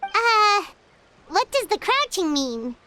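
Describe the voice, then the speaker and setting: A woman voicing a tiny, excitable, childlike floating fairy companion in a video game, with a squeaky delivery. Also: slow pace, noisy background, heavily acted. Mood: curious. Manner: squeaky, talks about herself in third person. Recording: game music behind the voice.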